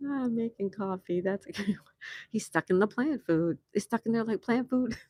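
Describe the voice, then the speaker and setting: A woman's voice: a little high, moderate pace, darkish tone, dry recording, clean studio sound. An older woman from New York hosting a casual livestream from an outdoor patio, chatting and reading viewers' messages aloud with frequent laughs. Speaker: livestream host. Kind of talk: casual live chat reading comments.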